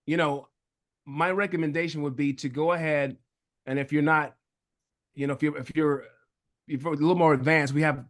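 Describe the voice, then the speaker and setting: A man speaking as a real estate coach and guest on a webinar. He is relaxed and repeats words as he goes, over a video call. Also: a little high. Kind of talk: casual webinar talk.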